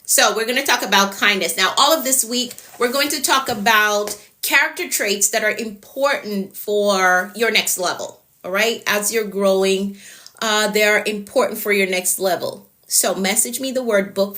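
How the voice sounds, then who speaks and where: A woman's voice: mid-range voice, pushed and bright. A woman with a Jamaican accent, a productivity coach giving a live-stream talk, repeating her key points and greeting viewers by name.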